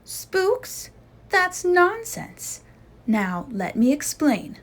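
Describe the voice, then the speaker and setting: Female storybook scout leader voiced by a reader, sensible, assured, high and emphatic. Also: big pitch swings, extremely slow, heavily acted. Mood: confident, dismissive.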